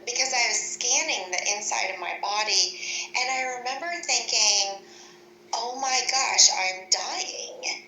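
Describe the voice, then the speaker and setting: A woman with a very bright, forceful voice at middling pitch tells her story as an interview guest. She is deliberate and matter-of-fact. The room echoes around her, with faint noise underneath. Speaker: interview guest recounting a personal experience.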